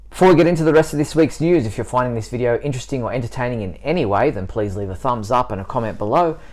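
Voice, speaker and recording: A man hosting a news bulletin, reading scripted items in a clearly enunciated presenter's delivery. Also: mid-range voice, expressive, racing, darkish tone. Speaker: news show host.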